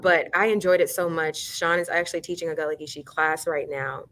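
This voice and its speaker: Young woman from new york, chatty and excited, voice on the low side. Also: brisk. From New York, USA. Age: young adult. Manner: enthusiastic, chatty storytelling. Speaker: student guest. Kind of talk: casual interview answers.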